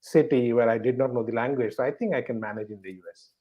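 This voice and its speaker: A man from eastern India, a native Bengali speaker with a Bengali Indian accent, answering casually in an interview at home in halting, repetitive phrases. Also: mid-range voice, dull audio. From West Bengal, eastern India. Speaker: podcast guest, bank professional.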